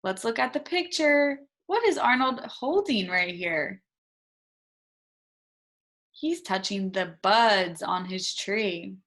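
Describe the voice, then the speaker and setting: A woman's voice: medium pitch, lively intonation, fairly bright tone. A woman teacher doing an interactive read-aloud for young children, in short simple sentences, prompting with questions and stressing key words. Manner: instructional, emphasising key words slowly for children.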